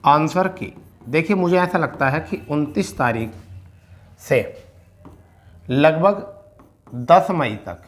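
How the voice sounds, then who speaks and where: A man giving an informal explainer to students as a coaching teacher, repeating key phrases for emphasis. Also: on the high side. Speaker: exam coaching teacher giving recruitment updates.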